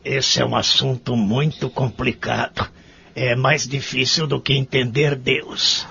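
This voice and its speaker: A man acting a lonely, remorseful old man in a care home in a radio drama, with a gravelly, husky voice and a Brazilian accent. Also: mid-range voice, level tone, a little slow, bright, forceful tone, exaggerated voice. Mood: polite, conversational. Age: older. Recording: muffled, lo-fi audio drama recording.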